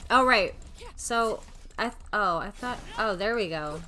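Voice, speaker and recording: Bright-voiced woman streaming a video game. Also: medium pitch, expressive, measured pace. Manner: chatty, thinks aloud, self-mocking. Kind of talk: casual live gameplay commentary.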